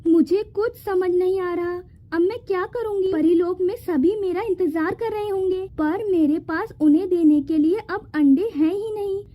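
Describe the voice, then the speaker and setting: A woman voicing a kind, caring young working woman in an animated fairy tale, earnest and expressive. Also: very high-pitched, moderate pace, few pauses. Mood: worried, sad.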